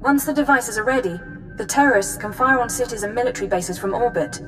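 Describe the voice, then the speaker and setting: An actress playing a serious, worried intelligence officer giving an urgent briefing over a comm. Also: female voice, fairly high pitch, wildly swooping pitch, quick pace, faint music behind, low-quality recording.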